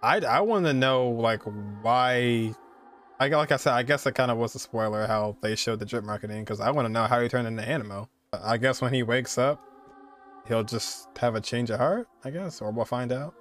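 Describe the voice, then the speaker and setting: Male game streamer, twenties, medium-pitched, informal off-the-cuff commentary with soft music in the background. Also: normal speed. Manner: informal, slangy. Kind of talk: casual live reaction commentary. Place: indoor streaming setup, game audio behind.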